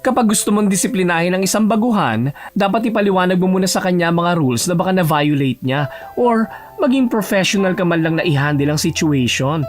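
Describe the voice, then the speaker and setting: A man's voice: high voice, expressive, a little fast. A man playing a stern but composed male executive in a romance audio drama, formal and authoritative, mixing in English. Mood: firm, reprimanding. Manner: formal, authoritative, switches between Tagalog and English.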